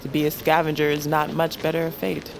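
An actress playing a defiant, bitter young outcast assassin in a dramatic, terse, menacing manner. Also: female voice, low-pitched, completely flat delivery, fairly quick, gravelly, music in the background, poor audio. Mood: terse, guarded. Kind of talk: dramatic audio drama acting.

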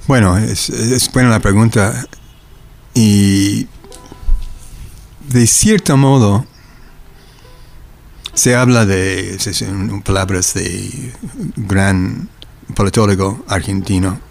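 An older man from the United States with an American accent, a native English speaker, answering interview questions reflectively and haltingly, often repeating syllables as he searches for words. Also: fairly low pitch, gravelly, darkish tone. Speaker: interviewee, academic eyewitness.

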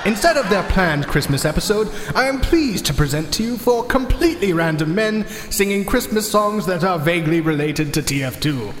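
A man's voice: sits high, expressive, normal speed, hardly any pauses, soft background music. A man giving a mock-formal scripted announcement as a show's announcer, pompous and solemn. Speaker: announcer introducing a podcast's Christmas special. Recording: echoey hall with noise and crowd.

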